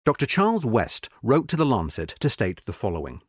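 Expressive male narrator, dry studio sound. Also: on the low side, husky, no echo. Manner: measured, expressive, slows at sentence ends. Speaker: narrator reading a magazine article. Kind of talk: scripted narration.

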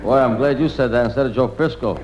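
A man with a mid-pitched voice trades comic banter on a vintage radio show. The recording has faint noise. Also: quick pace, muffled recording. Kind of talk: 1950s radio comedy banter.

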